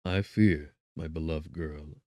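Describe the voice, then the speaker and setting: A man voicing a tormented, guilt-ridden young gentleman in an audiobook, earnest, devoted and gravely impassioned. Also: a bass voice, steady tone, slow, dry recording, studio quality. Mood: grave devotion.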